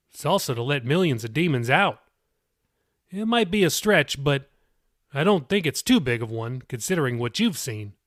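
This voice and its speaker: A man's voice: a little high, fairly bright tone, no echo, studio quality. A man voicing an earnest male pastor in an audiobook, reasoning aloud and teaching from scripture.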